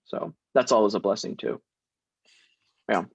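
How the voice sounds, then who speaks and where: A man's voice: fairly low pitch, measured pace, gravelly, husky, no room sound, highs cut off. A young man born in Syria, a guest actor talking casually and emphatically in an interview, laughing often.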